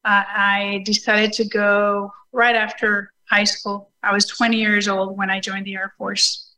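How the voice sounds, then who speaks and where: A woman's voice: medium pitch, little pitch movement, measured pace, sharp tone, low-quality recording. A woman with a Puerto Rican accent, telling her personal story as a guest in a remote interview. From Puerto Rico. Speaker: show guest, Air Force veteran. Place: video call.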